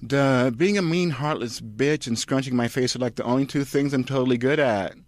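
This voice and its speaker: A man's voice: medium pitch, fairly quick, pitched above the performer's own voice, natural voice. A man voicing a bratty, depressed young actress with whiny valley-girl talk in a comic script reading. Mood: spiteful.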